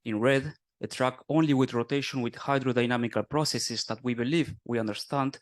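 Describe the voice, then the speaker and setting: A man's voice: medium pitch, a little fast. Man giving a science talk. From Argentina. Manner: explanatory, steady, with small self-corrections. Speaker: conference speaker, doctoral student.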